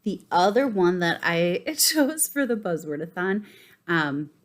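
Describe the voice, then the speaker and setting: A woman talking casually to camera about books as a vlogger, enthusiastic and emphatic, often pausing mid-sentence. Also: lowish voice, sing-song. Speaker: book vlogger presenting a reading list.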